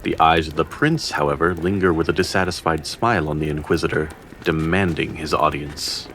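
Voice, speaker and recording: A man's voice: extremely low pitch, steady tone, brisk, fairly bright tone, slight background noise. A man narrating a fantasy audio drama as a solemn storyteller, in scripted dramatic style. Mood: measured.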